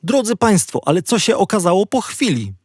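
A man's voice: high voice, sing-song, brisk, breathy edge, no echo. Dry close-recorded man narrating a children's audiobook. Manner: conversational storyteller, playfully wry asides to the listener.

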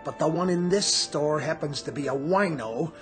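Man playing a pompous, high-pitched store manager. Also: normal speed, faint music behind, close to an everyday voice. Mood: exasperated. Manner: pompous, clipped, sarcastic. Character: stern, sneering, officious department store manager. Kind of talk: radio drama acting.

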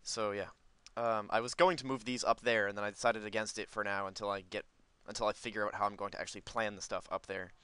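A man's voice: lowish voice, level tone, fast, hardly any pauses, fairly bright tone, clear, resonant tone, no room sound. A man giving casual, unscripted gameplay commentary, rambling as he thinks aloud. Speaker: gaming video host.

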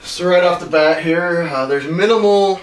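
A man's voice: fairly high pitch, normal speed. Man unboxing gear in a roomy living room. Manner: relaxed and plainspoken, many ums. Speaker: RV owner reviewing gear. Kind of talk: unboxing review. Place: carpeted living room at home. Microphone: far camera. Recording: roomy, from far off, faint noise.

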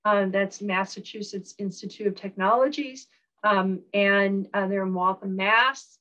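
A woman professor with a Southern US accent giving a recorded online lecture, relaxed, with frequent ums and uhs. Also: medium pitch, a little slow, dull audio.

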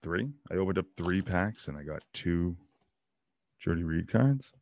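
A man in his thirties hosting a casual solo show, rambling and joking as he opens card packs in a small home room on a boom mic. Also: lively intonation, measured pace, gravelly, a little mellow. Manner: rambling, joking asides about players. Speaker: podcast host opening baseball card packs. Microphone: studio mic on boom arm, headphones.

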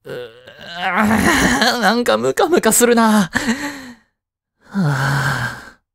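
A man's voice: high voice, big pitch swings, drawn-out words, vocal fry, a bit bright, slightly rough, no room sound, clean studio sound, full character voice. A man playing a flustered teenage boy jealous over his childhood friend in a romantic voice drama, boyish and hesitant. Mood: distracted, brooding. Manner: boyish, hesitant, muttering to himself. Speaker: amateur voice-drama actor. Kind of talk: Japanese romantic voice drama acting.

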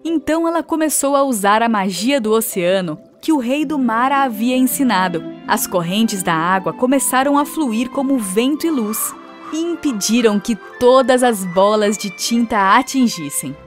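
Higher-pitched woman narrating a children's story. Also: lively intonation, normal speed, faint music behind.

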